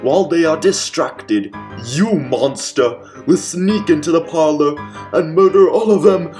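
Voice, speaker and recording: A man's voice: on the high side, a little slow, darkish tone, faint music behind, voice pushed up, lightly acted. A man playing a megalomaniac, bossy mad scientist villain with theatrical delivery, in the style of old comedic radio mystery. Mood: sinister scheming. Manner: theatrical, exclaiming, cackling.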